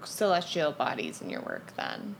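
A woman's voice: deep voice, big pitch swings, moderate pace, creaky, slight background noise, poor audio. A woman hosting a podcast, chatting casually and bluntly, and swearing freely. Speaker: podcast host. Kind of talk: casual conversation.

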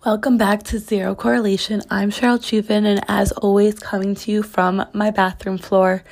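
A young woman talks through her solo podcast in a loose, chatty ramble, peppered with "like." Her voice is slightly raspy as it recovers after being lost. She records from her bathroom at home. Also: medium pitch, flat delivery, moderate pace, darkish tone. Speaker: podcast host. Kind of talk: casual solo podcast chat.

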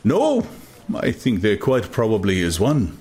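A man playing a deep-voiced, learned ruler in a dramatized audiobook, speaking deliberately and calmly persuasive, with oratorical phrasing. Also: heavily acted. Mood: calm, reasoning.

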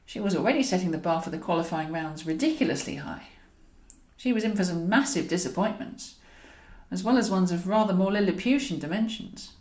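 A woman reading a comic romantic novel aloud in an expressive storytelling style, as the author of the book. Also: fairly low pitch, brisk, roomy sound. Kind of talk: expressive audiobook narration of comic romantic fiction.

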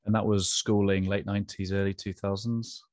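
A man's voice: on the low side, level tone, moderate pace, a little mellow, no room sound, studio quality. A man hosting a podcast, reading a scripted intro and then chatting with his guest, with encouraging murmurs. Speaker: podcast host.

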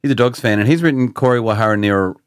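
A man's voice: medium pitch, rapid-fire, clear, resonant tone, no echo, clean studio sound. A man with an Australian accent co-hosting a podcast in casual chat, joking and self-mocking. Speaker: podcast co-host.

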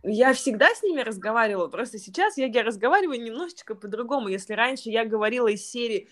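A woman's voice: a little high, expressive, fast talker. A woman teaching yoga and trained as a clinical psychologist, speaking thoughtfully in an interview, with many fillers and restarts. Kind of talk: reflective interview conversation.

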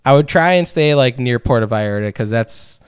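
A man's voice: mid-range voice, expressive, brisk, clear, resonant tone. A young man co-hosting a casual podcast, rambling and teasing, with frequent restarts. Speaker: podcast co-host. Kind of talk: crude casual chat.

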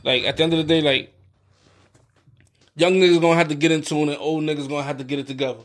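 A man's voice: on the high side, steady tone, fast talker. A young man from New Jersey, a rapper guest boasting emphatically and swearing heavily. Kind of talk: casual chat.

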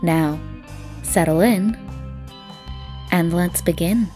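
Woman, podcast host, scripted introduction, clear music bed behind the voice. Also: fairly low pitch, slow pace, warm tone.

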